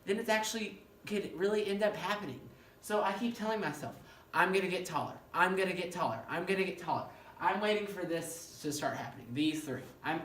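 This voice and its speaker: A male professor with a fairly high-pitched voice, giving an animated classroom lecture and often checking in with "okay?". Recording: echoey room, mic far off, faint noise.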